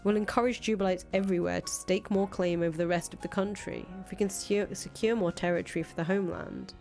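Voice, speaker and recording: A woman's voice: medium pitch, steady tone, fast, vocal fry, darkish tone, natural voice. Woman acting a brash, grandiose revolutionary leader over music. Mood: defiant, scheming. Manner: foul-mouthed, boastful, emphatic. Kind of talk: visual novel character voice reading. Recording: music playing behind the voice.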